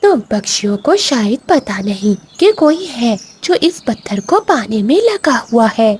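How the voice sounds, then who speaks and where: A woman's voice: on the high side, sing-song, unhurried, darkish tone, slight background noise. A woman voicing a stern but caring eagle queen in a bird cartoon, speaking with authority and emphasis. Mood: grave, firm. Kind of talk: animated moral-story cartoon dub.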